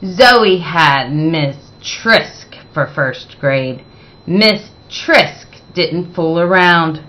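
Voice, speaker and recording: Female picture-book narrator, slow and deliberate, bright tone, expressive with dramatic emphasis. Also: sits low. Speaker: read-aloud storyteller.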